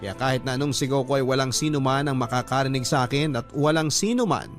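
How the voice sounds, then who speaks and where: A man reads a listener's letter in first person, in steady radio-drama narration. His voice sits at an average pitch, and a clear music bed plays behind him. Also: fast talker. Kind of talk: scripted narration.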